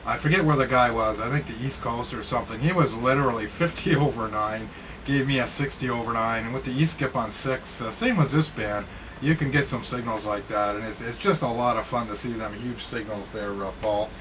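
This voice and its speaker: A man chatting casually as an amateur radio operator, talking in a halting, matter-of-fact way. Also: mid-range voice. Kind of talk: casual chat over ham radio. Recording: clearly noisy ham radio recording.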